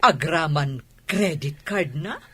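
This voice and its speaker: A woman playing a haughty, fussy, expressive mother in an Ilocano audio drama. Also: deep voice, takes it slowly, husky, exaggerated voice. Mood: questioning. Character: haughty, expressive mother, fussy about her son's match.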